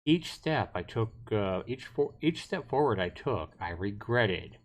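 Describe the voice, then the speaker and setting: A man's voice: fairly low pitch, lively intonation, a little slow. A man hosting a game livestream, reading story text aloud expressively and dropping in casual asides. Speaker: livestream host playing a visual novel. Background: game background music.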